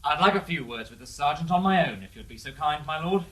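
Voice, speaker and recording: A wry, weary detective inspector. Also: male voice, a little high, expressive, quick pace, bright, forceful tone, slightly rough, exaggerated voice. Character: dry, sardonic male detective inspector, middle-aged. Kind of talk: radio drama acting.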